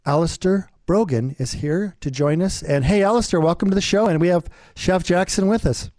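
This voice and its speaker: A man co-hosting a food radio show, enthusiastic and a bit halting as he introduces a guest. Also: high-pitched, a little mellow, no echo.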